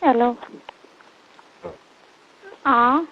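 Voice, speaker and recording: A woman's voice: fairly high pitch, drawn-out words. A woman with a Marwari Rajasthani accent chatting casually and intimately over the phone. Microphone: phone line. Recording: tinny, narrow-band phone call recording.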